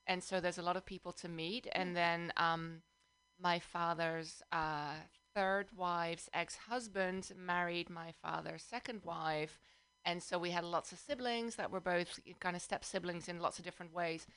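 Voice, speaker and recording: Low-voiced Dutch-born woman guest. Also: steady tone, unhurried, fairly bright tone, clean tone, no echo. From the Netherlands. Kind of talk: casual chat.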